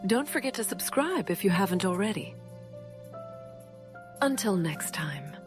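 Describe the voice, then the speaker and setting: A woman announcer reading a scripted podcast sign-off as narration. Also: mid-range voice. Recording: loud music bed under voice. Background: music.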